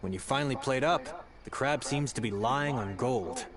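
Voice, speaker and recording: A man voicing a courteous, formal innkeeper in a game, smooth and well-mannered. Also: mid-range voice, moderate pace, a loud crowd, close to an everyday voice. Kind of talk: game voice acting. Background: loud game music.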